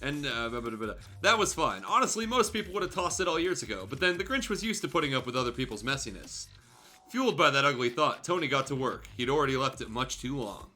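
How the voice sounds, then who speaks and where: A man's voice: mid-range voice, sing-song, fast, sharp tone, soft background music. A man reading fan fiction aloud for comedy as a show host, breaking off into casual, irreverent, sweary asides.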